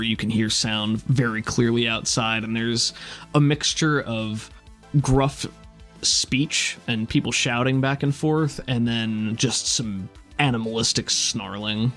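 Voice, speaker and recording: Guy game mastering a tabletop rpg, normal pitch, some music behind him. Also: fairly even intonation, unhurried, a bit bright. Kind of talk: narrating a tabletop roleplaying game. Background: background music.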